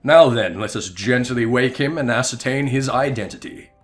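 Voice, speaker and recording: A man voicing a pompous, oblivious gentleman detective from a video game in florid, theatrical declamation. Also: medium pitch, normal speed, soft background music, natural voice. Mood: self-assured. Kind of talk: dramatic reading of video game dialogue.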